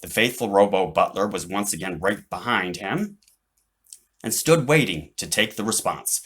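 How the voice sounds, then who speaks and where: A man reading his own children's book aloud as an animated narrator. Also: on the low side, normal speed, husky.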